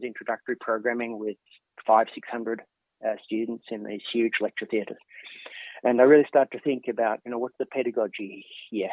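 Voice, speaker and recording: An Australian man in his fifties answers interview questions at an easy, thoughtful pace, often pausing on ums and restarting his sentences. His voice sits in a middle register and comes through a thin, phone-like line with a dry, close sound. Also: medium pitch, dry recording. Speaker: interview guest, professor.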